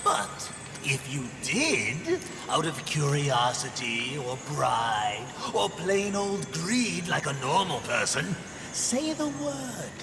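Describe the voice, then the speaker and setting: A man's voice: fairly high pitch, big pitch swings, slow, background noise, exaggerated voice. A male actor playing a sly, mock-polite demon merchant in a video game, theatrical and mischievous. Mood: sly, teasing. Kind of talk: video game character acting. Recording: long reverb, music behind.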